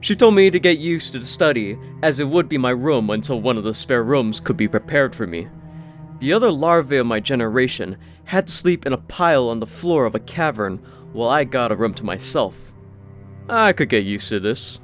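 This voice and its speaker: A man narrating a scripted fantasy story, expressive, with wry asides. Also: medium pitch, moderate pace. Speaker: audiobook narrator. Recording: faint music behind the voice.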